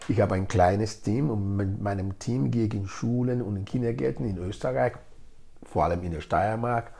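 Low, creaky-voiced middle-aged man, native Edo speaker. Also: moderate pace, dark tone. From Nigeria. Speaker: interview guest, integration project leader. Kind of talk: conversational interview answers.

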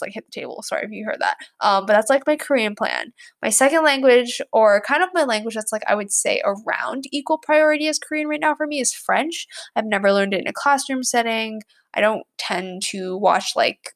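A woman's voice: a little high, creaky, fairly bright tone. A woman in her twenties, a college student, talking casually and rambling with lots of fillers. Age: 20s. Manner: rambling, many fillers, chatty. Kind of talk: casual chat to camera.

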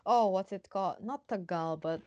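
A woman's voice: medium pitch, moderate pace, darkish tone, dry recording, muffled recording. A young woman from Indonesia chatting casually in English, encouraging and repeating words as she searches for them. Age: young adult. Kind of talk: casual chat.

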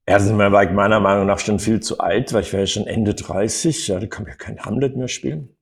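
An older man telling anecdotes as an interview guest, in an animated storytelling manner with frequent little tag words. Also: fairly low pitch, fairly quick, gravelly. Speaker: acting coach and interview guest.

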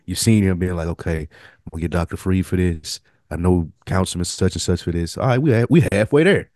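A man's voice: deep voice, fairly quick, darkish tone, no room sound, clean studio sound. An American man co-hosting a casual TV recap podcast, emphatic and slangy, swearing freely. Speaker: podcast co-host.

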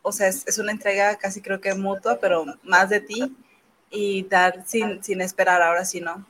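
Bright-voiced woman host. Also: mid-range voice, level tone, normal speed, a little hiss or hum, low-quality recording. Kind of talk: casual hosting chat.

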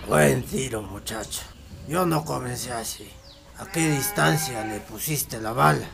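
A man's voice: mid-range voice, unhurried, vocal fry, over music. A man playing a grim older master marksman, terse and instructive, in a radio drama. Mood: grave, instructive.

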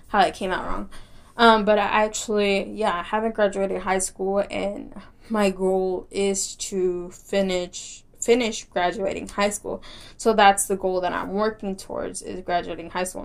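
A young woman hosting her own podcast, speaking with a light Texas country accent. She rambles in a casual, chatty way, laughing easily and circling back with plenty of fillers and restarts. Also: medium pitch. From Texas, United States. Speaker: podcast host. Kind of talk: casual solo podcast chat.

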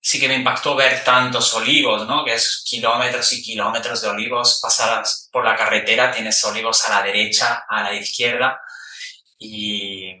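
Man, podcast host, very bright sharp voice, echoey room, mic set back from him. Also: on the low side, normal speed, recorded from a distance. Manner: relaxed, thoughtful, frequent hesitations. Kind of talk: casual conversational interview.

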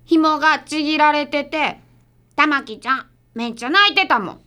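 A woman playing an excitable, gossipy schoolchild with a Kansai accent in a radio drama. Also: sits high, moderate pace, a little hiss or hum. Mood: accusing, tattling. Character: excitable, gossipy schoolchild classmate siding with the accusers.

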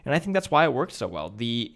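A man's voice: mid-range voice, sing-song, fast talker, fairly bright tone. A man in his early twenties talking casually as a podcast co-host, in an informal, slangy and emphatic way. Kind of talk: casual chat about films.

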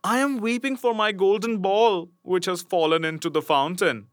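A man voicing a spoiled young princess in a read-aloud fairy tale, in a high pleading girlish tone. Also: normal speed, dry recording, close to an everyday voice.

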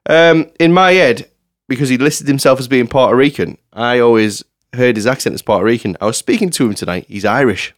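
A man's voice: medium pitch, fairly quick. A man hosting a casual comedy podcast, reading out listener submissions and often breaking into laughter as he goes.

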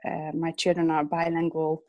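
A Hungarian-born woman in her thirties is talking in English as a guest on a podcast. Her voice sits on the low side and is recorded dry and close. She talks with a lot of hesitant fillers and small laughs. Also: monotone, normal speed, no echo, lo-fi sound. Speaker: illustrator guest. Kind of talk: casual interview chat.

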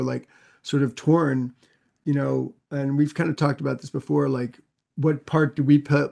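A man's voice: medium pitch, creaky, darkish tone. A man co-hosting a podcast in casual chat, hesitant and questioning, full of fillers and restarts. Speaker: podcast co-host.

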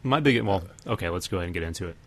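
Low-voiced man hosting a casual film podcast. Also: animated, rapid-fire, fairly bright tone, a little hiss or hum. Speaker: podcast host.

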